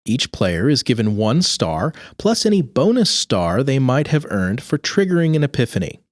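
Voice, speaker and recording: A man's voice: mid-range voice, big pitch swings, moderate pace, no room sound, studio quality. A man reading scripted rules aloud in a precise, instructional manner. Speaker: co-host reading game rules. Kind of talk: scripted rulebook narration.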